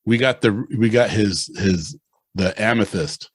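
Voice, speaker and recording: Animated husky man in his forties. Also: lowish voice, unhurried, no room sound. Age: 40s. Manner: animated storyteller, acts out quotes loudly. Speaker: podcast host. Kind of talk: casual chat.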